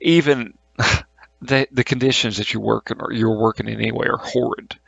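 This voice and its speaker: A man acting as game master, narrating a tabletop game in a halting, colloquial, informal way. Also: medium pitch, steady tone, creaky, a bit bright, dry recording, lo-fi sound.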